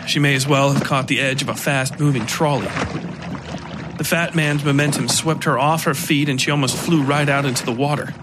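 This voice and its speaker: A man narrating scripted dark horror fiction as a podcast storyteller. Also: medium pitch, noisy background.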